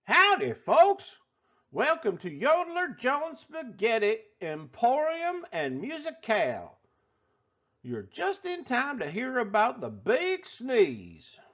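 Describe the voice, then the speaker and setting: A man voicing a folksy, excitable restaurant owner telling a tall tale in a theatrical drawl for a children's storybook. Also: extremely high pitch, takes it slowly, dull audio, voice pushed up, exaggerated voice. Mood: folksy, excited. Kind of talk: animated children's storybook read-aloud.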